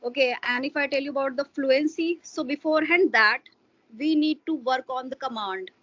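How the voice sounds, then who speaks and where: High-voiced woman, halting and hesitant. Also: fairly bright tone, no echo, highs cut off. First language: Hindi. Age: adult parent. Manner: hesitant, many fillers like "you know" and "like". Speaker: interview guest. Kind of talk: casual explanatory interview talk.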